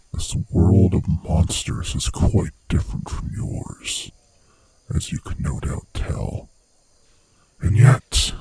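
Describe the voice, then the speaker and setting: Guy doing a creepy monster under the bed for horror asmr, super low n raspy, slow taunting whispery menace, close dry sound. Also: mellow, dry recording, exaggerated voice. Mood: pleased, sinister. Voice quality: breathy, raspy, often sinking into whispers. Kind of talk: horror ASMR roleplay addressed to the listener.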